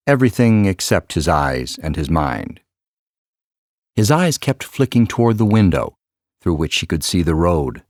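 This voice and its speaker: A man reading a scripted story as an audiobook narrator, with measured, expressive storytelling. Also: low voice, no room sound, studio quality.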